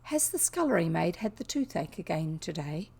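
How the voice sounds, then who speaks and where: A woman's voice: lowish voice, big pitch swings, moderate pace, vocal fry, a little mellow, breathy edge, full character voice. A woman voicing an inquisitive, stubborn, earnest young girl in a storybook reading. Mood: questioning, insistent.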